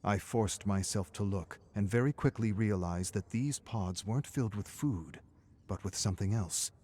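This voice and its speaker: A weary scientist who has survived a monster invasion, logging his findings for a video game. His voice is on the low side, grave and measured, and his phrase endings sink into a hush. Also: slightly rough. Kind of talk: scripted dramatic audio log narration.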